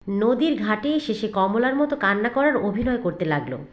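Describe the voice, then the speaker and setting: A woman's voice: mid-range voice, very animated, brisk, lo-fi sound. A woman reading scripted narration for a children's-style fairy tale as its storyteller.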